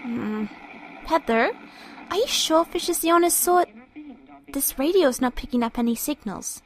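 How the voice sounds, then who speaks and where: A woman voicing an earnest, optimistic young pony scientist in an audio drama, speaking gently and precisely. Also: high voice, expressive, a little slow, a little hiss or hum, low-quality recording. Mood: doubtful, curious. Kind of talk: scripted audio drama dialogue.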